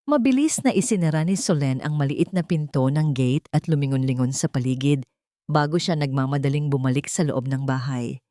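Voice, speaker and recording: A woman's voice: low-pitched, fairly quick, mellow, no echo, studio quality. A woman narrating a scripted first-person dramatic story as an audiobook reader.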